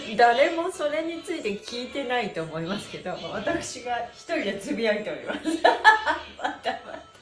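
A woman's voice: fairly high pitch, reverberant. A middle-aged woman leading a live stretch class, cheerful and giggly, chatting to herself between chanted instructions. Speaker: stretching instructor and livestream host. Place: small bare-walled room indoors, seated on mat.

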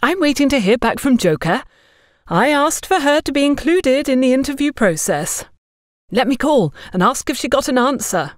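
A woman's voice: sits high, big pitch swings, moderate pace, dry recording, studio quality, voice pushed up. A woman voicing an overbearing, gossipy, smugly condescending woman in an audio story, talking down to others in an effusive way. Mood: bossy, exasperated. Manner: bossy, effusive, talks down to others.

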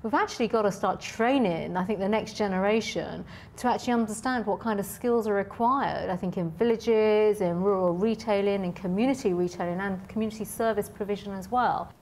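Woman, 30s-40s, medium pitch, formal and emphatic interviewee, faint noise behind. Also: fairly quick, darkish tone. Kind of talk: interview answer. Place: indoors by an office window.

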